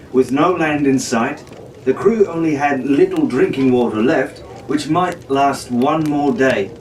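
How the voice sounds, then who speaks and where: A man narrating a pirate adventure story in a theatrical, in-character way, as a storybook reader. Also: medium pitch. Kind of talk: scripted dramatic narration reading a children's pirate novel. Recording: roomy, distant mic with faint noise.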